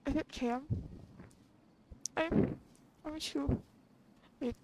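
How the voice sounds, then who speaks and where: A woman's voice: high-pitched, steady tone, very slow, creaky, very noisy. A woman playing a video game and reacting with casual, offhand asides. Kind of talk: casual gameplay commentary.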